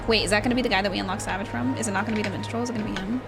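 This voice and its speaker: A woman's voice: medium pitch, fairly even intonation, rapid-fire. A woman giving casual game commentary as a streamer, chatty and playful, often repeating words. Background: game music.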